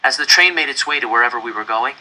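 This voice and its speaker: A man narrates a novel in the first person with a sharp, intensely bright voice at an average pitch. His delivery is dramatic and deliberate, shaped as expressive storytelling. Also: poor audio. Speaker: audiobook-style reader.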